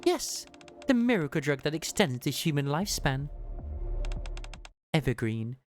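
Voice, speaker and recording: A man voicing an ancient, knowing woman from a video game, who recalls things fondly in measured, formal speech. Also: fairly high pitch, very animated, faint music behind. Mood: explanatory. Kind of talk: read-aloud video game dialogue.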